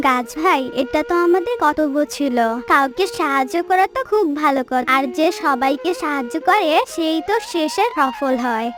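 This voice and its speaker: Woman voicing a high-pitched little cartoon bird. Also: moderate pace, hardly any pauses, over music, lightly acted. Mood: earnest, encouraging. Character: small kind-hearted female bird, earnest and determined. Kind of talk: children's cartoon voice acting.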